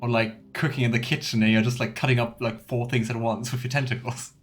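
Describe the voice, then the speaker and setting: A man's voice: mid-range voice, flat delivery, fast talker. A man giving casual let's play commentary in a chatty, playful way, with rambling asides. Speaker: let's player.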